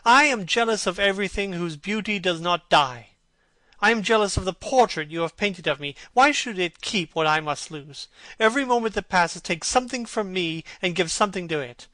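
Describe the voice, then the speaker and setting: A man voicing a vain young gentleman who despairs over growing old, pleading and anguished. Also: high voice, expressive, normal speed, dry recording, voice pushed up. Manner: pleading, rising to anguished outbursts.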